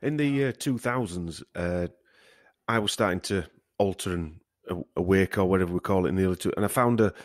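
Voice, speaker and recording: Low-voiced man in casual conversation. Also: fairly quick, no room sound, studio quality.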